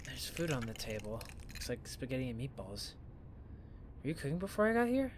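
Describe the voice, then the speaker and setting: A man acting as an easygoing young male student in an audio drama, sardonic yet caring. His pitch moves around a lot as he talks casually and flippantly. There is faint noise behind the voice. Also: big pitch swings, a little fast, natural voice. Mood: curious, startled.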